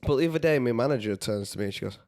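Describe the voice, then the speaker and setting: A man's voice: medium pitch, animated, very fast, darkish tone. A man with a Manchester accent co-hosting a podcast, chatting casually, laughing often and swearing easily. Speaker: podcast co-host. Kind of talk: casual chat.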